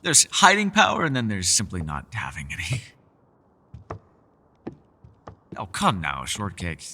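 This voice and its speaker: A man playing a mysterious, powerful and secretive elf in a fantasy ASMR roleplay, speaking in measured, formal, old-fashioned phrases, by turns teasing and world-weary. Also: deep voice, wildly swooping pitch, pushed and bright, slight background noise, full character voice. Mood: confident, teasing.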